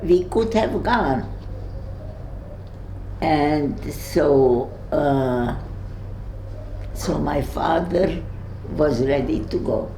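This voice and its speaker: An older woman with a Hungarian accent, recounting memories to young visitors, halting and searching for words. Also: sits low, expressive, creaky, dark tone, reverberant, a little hiss or hum, poor audio. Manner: halting, searches for words, many fillers and restarts. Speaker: Holocaust survivor speaking to visitors. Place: room in her home.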